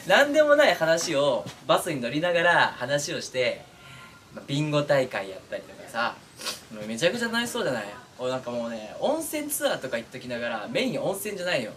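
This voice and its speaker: Animated young man, distant noisy recording. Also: on the high side, fairly quick. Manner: chuckles between phrases, informal. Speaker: voice-acting content creator. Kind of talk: casual chat to viewers. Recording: distant mic with clear background noise.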